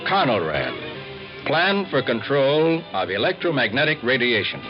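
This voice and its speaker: Earnest american roving reporter, old radio drama, really animated, dull vintage sound w music behind. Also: male voice, medium pitch, unhurried. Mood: dramatic, proud. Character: earnest, driven male roving newspaper reporter. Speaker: reporter and narrator. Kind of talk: 1950s radio documentary drama acting.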